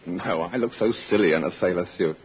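A man's voice: deep voice, a little fast, close to an everyday voice. An American man playing a naive, self-satisfied sidekick in an old radio comedy. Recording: noisy, muffled old radio recording.

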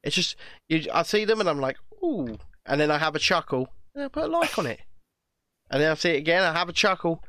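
A man's voice: a little high, very animated, quick pace, pushed and bright, dry recording, studio quality. A man from England chatting casually, swearing and ranting, laughing as he talks. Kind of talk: casual chat.

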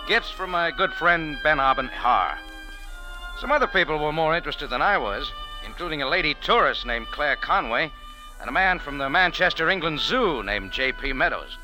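An actor playing a tough American bar owner, narrating in a wry, world-weary hardboiled radio style. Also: male voice, medium pitch, close to an everyday voice, low-quality recording. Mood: brisk recap.

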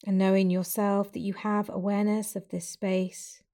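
A woman guiding a breathing meditation with slow, soft, soothing instructions and long pauses. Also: mid-range voice, level tone. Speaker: meditation guide.

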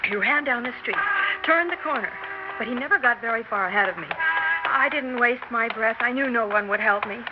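Casting: stage-struck young woman, high dramatic voice, insistent, dull old radio sound with music. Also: lively intonation, moderate pace. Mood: earnest recounting. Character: determined, excitable young would-be actress, a woman. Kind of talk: 1960s radio drama acting.

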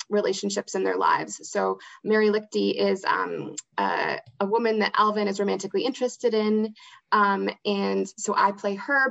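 Female interview guest, conversational, mid-pitched with noticeable vocal fry, a little dull-sounding recording. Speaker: interview guest, theatre actor and outreach manager. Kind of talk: casual interview chat. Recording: slightly dull, lo-fi audio.

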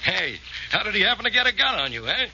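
An actor playing a gruff, easily exasperated police inspector, blunt and indignant, with an American accent in 1940s radio drama style. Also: male voice, high voice, sing-song, quick pace, pushed and bright, full character voice. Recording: muffled, noisy old radio recording.

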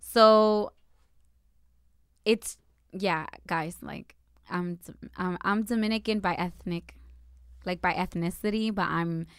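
A woman's voice: on the low side, smooth, no echo, studio quality. A young woman from New Jersey, co-hosting a casual chat with her partner, protesting and interrupting with a lot of energy. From New Jersey, USA. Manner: animated, quick to interrupt and protest. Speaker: podcast co-host. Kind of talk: casual couple banter.

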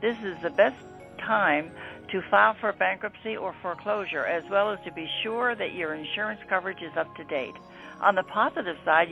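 Woman reading horoscopes, dull lo-fi sound. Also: lowish voice. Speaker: astrology segment presenter. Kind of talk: scripted radio horoscope narration. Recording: dull lo-fi audio. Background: faint music.

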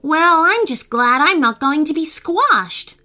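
A woman voicing a sensible, gently scolding female animal character in a children's story. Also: high voice, sing-song, slow pace, faint music behind, pitched above the performer's own voice, heavily acted. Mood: relieved, chiding.

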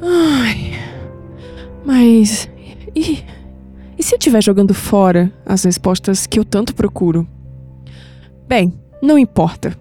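An actress playing an anguished young Brazilian granddaughter in an audio drama, emotional and hesitant, with sighs. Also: female voice, mid-range voice, animated, slow, long pauses, warm tone. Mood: anguished, confused. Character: anguished, skeptical young granddaughter unsettled by past-life visions. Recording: music bed under the voice.

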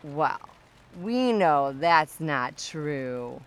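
A woman's voice: low voice, animated, extremely slow, smooth, exaggerated voice. A woman playing a tipsy, nosy and pushy young neighbour in a comic audio drama, chatty, teasing and insistent. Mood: teasing, probing. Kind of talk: audio drama comedy acting.

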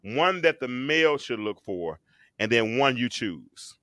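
A man hosting a casual talk show, his voice bright and lively, recorded dry and close. He talks in bursts, repeating and restarting his phrases, and there is a touch of bass underneath. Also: unhurried, dry recording. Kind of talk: casual chat.

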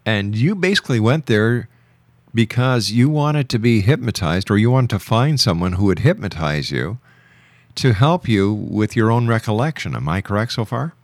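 A man hosting a radio show, speaking in a deliberate announcer's delivery. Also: on the low side, expressive. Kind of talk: radio hosting and scripted ad reads. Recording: music behind the voice. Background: music.

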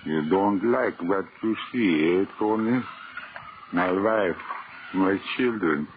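An actor playing a drowned man's vengeful ghost, slow and mock-friendly, in 1930s radio horror. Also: sits low. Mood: taunting. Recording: tinny, noisy 1930s radio recording.

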